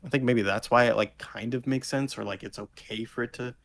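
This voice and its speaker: A man's voice: mid-range voice, fairly even intonation, a little fast, gravelly, a bit bright. A man co-hosting a podcast in casual discussion, speaking informally with plenty of slang. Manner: informal, slangy, occasional swearing. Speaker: podcast co-host.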